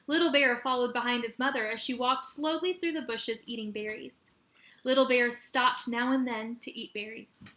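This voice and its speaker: A woman teacher reading a children's story aloud in an expressive, animated way with dramatic emphasis. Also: on the high side, moderate pace. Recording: roomy echo.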